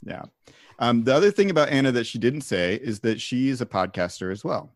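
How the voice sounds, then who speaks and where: A man's voice: medium pitch, lively intonation, a little fast, no room sound, clean studio sound. A man hosting a podcast as a pastor, chatting casually, laughing often and speaking loosely with fillers. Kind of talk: casual chat. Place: remote call.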